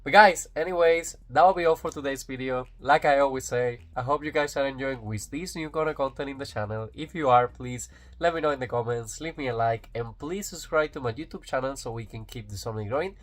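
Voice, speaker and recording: A man's voice: mid-range voice, brisk, fairly bright tone. Male YouTube reviewer, native Spanish speaker with a Latin American accent, eager and rambling, mid-range voice. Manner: enthusiastic, rambling with fillers and restarts. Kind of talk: casual unboxing review.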